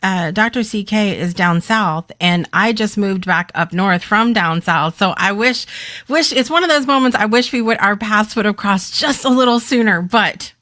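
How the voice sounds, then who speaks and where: A woman hosting a casual interview show. She keeps encouraging her guest with quick affirmations and easy laughter. Her voice sits mid-pitch and the recording is close and dry. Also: a bit bright, no room sound. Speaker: host.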